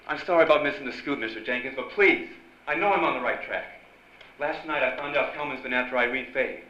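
An actor playing an earnest, dogged newspaper reporter in an old-time radio drama. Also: male voice, mid-range voice, fast, pushed and bright, lightly acted. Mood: determined. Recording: dull, noisy live stage recording.

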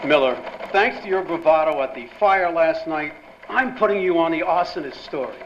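An American man playing a brisk, jovial newspaper editor and fatherly boss in a period radio drama. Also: on the high side, close to an everyday voice. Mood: brisk, puzzled. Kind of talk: 1940s-style radio drama acting. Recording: dull lo-fi sound, faint noise behind.

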